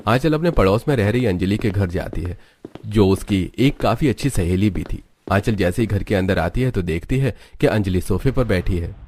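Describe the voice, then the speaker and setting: A man's voice: fairly low pitch, expressive, moderate pace, a little mellow, no room sound. A man narrating a story from a script.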